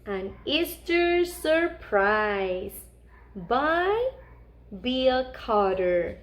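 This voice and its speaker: A woman reading a picture book aloud to young children in an animated storytime style. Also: high voice, very slow.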